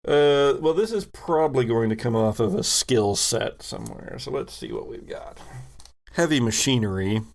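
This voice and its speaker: A man running a tabletop game as game master, improvising narration with halting, deliberate pauses mid-phrase. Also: medium pitch, animated, gravelly.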